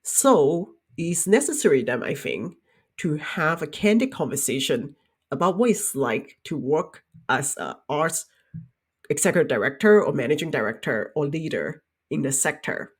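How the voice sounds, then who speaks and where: A young adult woman hosting a career podcast, speaking in an earnest, measured and reflective way. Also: sits low, animated, breathy edge. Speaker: podcast host. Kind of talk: podcast intro and interview.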